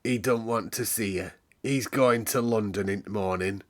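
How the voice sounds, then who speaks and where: A man voicing a thin, stern old manservant with a husky voice in a storybook reading. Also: on the low side, normal speed, close to an everyday voice. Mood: gruff, instructing.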